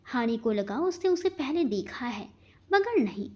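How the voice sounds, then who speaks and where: A woman's voice: a little high, big pitch swings, measured pace, husky, lo-fi sound. A woman reading scripted fiction as a narrator, in a dramatic storytelling style.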